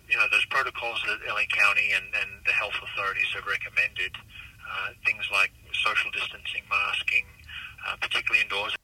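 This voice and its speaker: A man with an American accent giving halting, measured interview answers as a city official. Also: lowish voice, pushed and bright, a little hiss or hum, rough recording. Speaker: city manager being interviewed.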